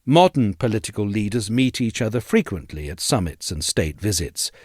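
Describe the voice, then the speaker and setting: A man narrating a scripted audio essay in a measured, articulate and formal way. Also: on the low side, very animated, darkish tone, husky, no echo, clean studio sound.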